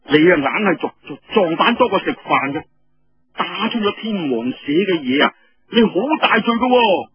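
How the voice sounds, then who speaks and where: A man's voice: high voice, expressive, moderate pace, husky, lo-fi sound, heavily acted. A man voicing a swaggering, teasing rebel officer in Cantonese, blustering and mocking as he repeats his words.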